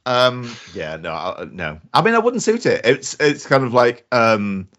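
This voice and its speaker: A man's voice: mid-range voice, wildly swooping pitch, a little slow, a bit bright, lo-fi sound. A man co-hosting a casual comedy podcast, hesitant, with many stammered restarts and giggles. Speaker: podcast co-host. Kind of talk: casual banter.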